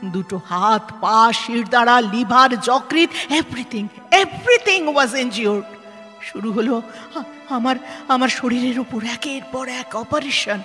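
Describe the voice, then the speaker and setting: Earnest woman survivor, high bright voice, emphatic audio-drama delivery by an actress, long reverb. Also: takes it slowly. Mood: pained recollection. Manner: dramatic, emphatic, repeats words for weight. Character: earnest, resilient woman NGO head who survived tragedy. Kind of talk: Bengali audio drama acting. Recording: long hall reverb, music underneath.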